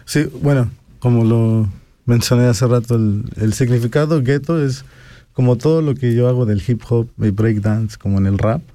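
A man's voice: medium pitch, moderate pace, dark tone. A Mexican man in his forties chatting as an interview guest, often ending phrases with a questioning tag. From Pachuca, Mexico. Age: 40s. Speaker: guest rapper. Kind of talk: casual interview talk.

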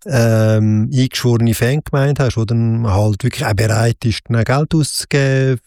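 A man's voice: mid-range voice, moderate pace, darkish tone, dry recording, clean studio sound. A man with a Swiss German accent interviewing a musician in a casual chat, often ending his points with a questioning "oder?". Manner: chatty, ends points with "oder?".

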